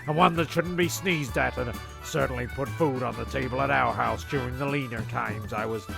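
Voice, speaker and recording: A man's voice: medium pitch, normal speed, gravelly, over music. A man performing rambling comic storytelling and sketch dialogue in a dry, exasperated way. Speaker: narrator and writer of a comedy show.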